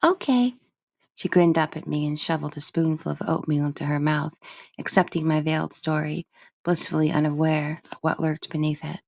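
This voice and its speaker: Woman reading an audiobook, low voice w some fry, measured and expressive. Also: no echo. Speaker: audiobook reader. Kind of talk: literary first-person narration.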